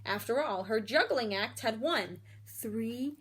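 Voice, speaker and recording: Female storyteller, high voice, expressive children's read-aloud with explanatory asides. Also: slow, husky. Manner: expressive, explains feelings and puts questions to children. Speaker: storyteller reading a picture book to children.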